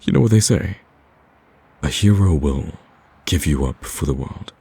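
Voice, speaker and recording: Man playing a very deep, husky lovestruck villain. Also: lively intonation, measured pace, dark tone, no echo, heavily acted. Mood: cocky persuading. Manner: smooth-talking, teasing, earnest pleading. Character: smitten male villain, cocky, persistent, wooing. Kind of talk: romantic ASMR roleplay acting.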